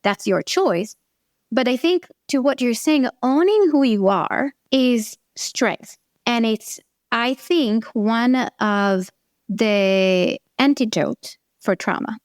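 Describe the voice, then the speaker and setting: A woman's voice: fairly high pitch, takes it slowly, no room sound. Israeli woman talking earnestly, dry sound. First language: Hebrew. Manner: earnest, animated, swearing now and then. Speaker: therapist guest. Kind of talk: conversational interview.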